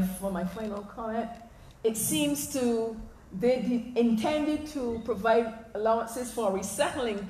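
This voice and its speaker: A Barbadian woman giving a public lecture into a stand mic on a hall stage, animated, slipping into local dialect at times. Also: a little high, slight background noise. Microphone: stand mic, filmed from the audience. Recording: echoey hall, faint ambience.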